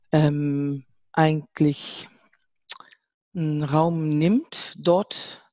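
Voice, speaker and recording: Female artist on a panel, middle-aged, slow measured delivery with pauses, close dry recording. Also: deep voice, a little mellow, no echo. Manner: deliberate, sceptical, pausing between phrases. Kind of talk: reflective panel discussion.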